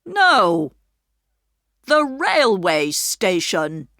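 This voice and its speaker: A stern middle-aged station master who scolds slowly and firmly, as a woman reader puts him on. His words are drawn out, and the recording is close and dry. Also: medium pitch, very animated, fairly bright tone, no room sound, full character voice. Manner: slow, firm and scolding.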